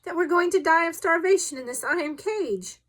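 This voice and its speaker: A woman's voice: fairly low pitch, expressive, normal speed, a bit bright. A woman voicing a hot-tempered, blunt Canadian harpooner in an audiobook story, indignant and emphatic. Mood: outraged.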